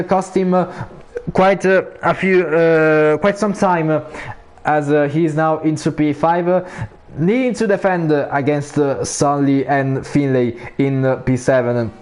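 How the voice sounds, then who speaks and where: A man with an Italian accent giving live commentary on an online racing league, full of "uh" fillers, his voice rising when cars fight for position. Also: a little mellow. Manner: frequent "uh" fillers, rises in pitch during battles. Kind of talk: live esports race commentary.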